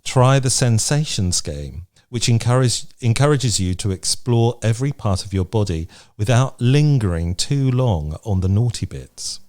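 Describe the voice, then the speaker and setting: A man's voice: medium pitch, expressive, moderate pace, few pauses, darkish tone. A man co-hosting a podcast, chatting casually and frankly in a matter-of-fact way. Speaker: podcast co-host. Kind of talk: casual chat. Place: remote podcast recording.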